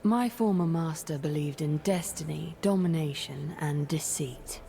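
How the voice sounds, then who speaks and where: Game villainess: ancient regal sorceress, deep dark tone, measured, disdainful, menacing. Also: female voice, creaky, slight background noise. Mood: menacing, hushed. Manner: measured, imperious, formal, menacing. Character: cold scheming ancient sorceress, regal manipulator plotting from shadows. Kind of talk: scripted video game character voice lines.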